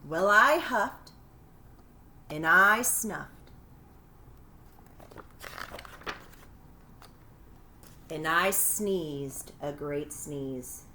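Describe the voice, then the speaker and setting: A woman's voice: low-pitched, animated, extremely slow, sharp tone, slight background noise, voice pushed down, exaggerated voice. A woman reading a children's story aloud as a cheeky, self-pitying wolf, with folksy, indignant and exaggerated delivery. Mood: dramatic. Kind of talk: animated children's read-aloud.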